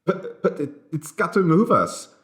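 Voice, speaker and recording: A man's voice: fairly high pitch, very animated, unhurried, a bit bright, pitched above the performer's own voice. A man voicing a panicky, demanding train passenger in an audiobook, stammering and urgent. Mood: panicked.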